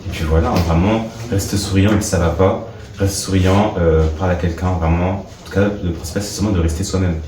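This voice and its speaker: An earnest young man with a very low voice answers interview questions, repeating himself for emphasis. He sounds set back from the mic in an echoey room, with background noise clearly audible. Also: steady tone, a little fast, warm tone, recorded from a distance. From France. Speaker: workshop participant.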